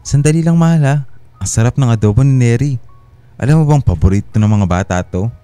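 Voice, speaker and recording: A man's voice: mid-range voice, expressive, a little fast, dark tone, clean tone, dry recording, faint music behind, natural voice. An actor playing an affable, oblivious husband and father in a radio drama, casual, affectionate and easily distracted.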